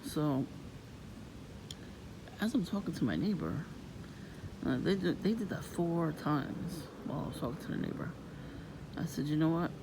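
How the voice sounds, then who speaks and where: A woman with a low voice, vlogging in a soft-spoken, rambling and suspicious way outdoors near her home. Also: expressive, moderate pace, long pauses. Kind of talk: casual rambling monologue. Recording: noisy outdoor ambience.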